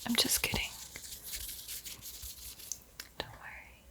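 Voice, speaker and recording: Whispering woman, breathy and very slow. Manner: soft, unhurried, playfully eerie and teasing. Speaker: art tutorial host. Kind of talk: whispered ASMR painting tutorial with spooky roleplay.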